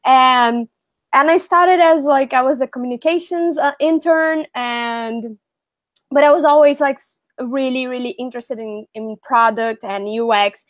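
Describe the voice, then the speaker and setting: A woman's voice: high voice, unhurried, no room sound. A woman product manager answering interview questions in a chatty, thoughtful way.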